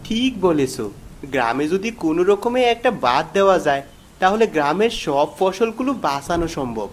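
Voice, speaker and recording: A man's voice: high-pitched, very animated, moderate pace. A man playing a protective, kindly village father in a cartoon fairy tale, earnest and firm. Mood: determined. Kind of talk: animated fairy tale character acting.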